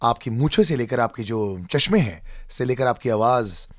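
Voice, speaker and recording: A man with an Indian accent hosting a studio interview, talking in an animated, emphatic, conversational way and switching between languages. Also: mid-range voice, normal speed. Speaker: radio show host interviewing a guest. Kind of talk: conversational studio interview, mixing Hindi and English. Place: radio studio.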